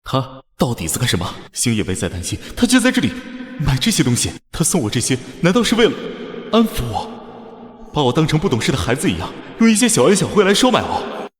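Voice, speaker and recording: A man voicing an earnest, wounded young consort in an animated drama, formal and full of doubt. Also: medium pitch, a little fast, slightly rough, no echo. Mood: hurt, indignant. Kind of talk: animated short-drama dubbing.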